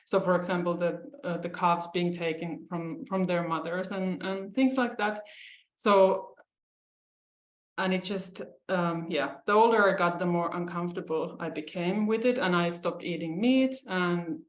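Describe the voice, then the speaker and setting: A woman's voice: lowish voice, measured pace, reverberant. A woman from Finland co-hosting a casual chat, talking hesitantly with many fillers. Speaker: podcast co-host.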